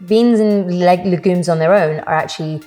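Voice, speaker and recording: A woman's voice: fairly low pitch, normal speed, warm tone, soft background music. A middle-aged woman with a British accent, a health guest who explains step by step with frequent fillers. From Britain. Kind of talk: explanatory interview talk.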